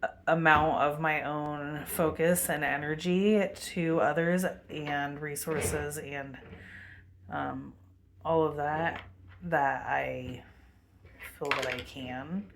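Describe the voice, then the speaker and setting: A woman vlogging a rambling spiritual monologue to her camera, meandering with many fillers and pauses, with a commanding voice. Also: deep voice, fairly even intonation, creaky, slight background noise. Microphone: webcam.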